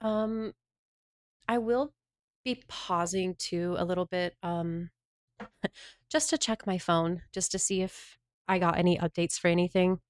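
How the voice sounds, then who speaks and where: A woman's voice: fairly low pitch, fairly even intonation, unhurried, pauses often, no room sound. Chatty woman streamer, dry sound. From Southern United States. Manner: chatty, rambling, answering chat messages. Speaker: livestreamer. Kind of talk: casual livestream chat with viewers.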